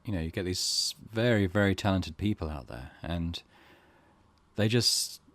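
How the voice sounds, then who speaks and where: A man's voice: low-pitched, a little slow, a bit bright, no echo. A man giving casual let's play commentary as a gaming host, reflective and hesitant, often trailing off.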